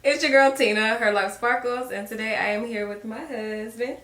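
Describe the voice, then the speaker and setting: A woman in her thirties from Virginia, hosting a relaxed, chatty couple Q&A and laughing often. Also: a little high, normal speed. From Virginia, USA. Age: 30s. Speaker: lifestyle YouTuber host. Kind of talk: casual couple Q&A chat. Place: home room. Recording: echoey room.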